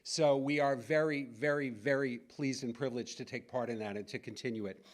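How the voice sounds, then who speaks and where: A man's voice: mid-range voice, fairly even intonation, fairly quick. A man hosting a recital, introducing the presenters in a loose way with frequent ums and restarts.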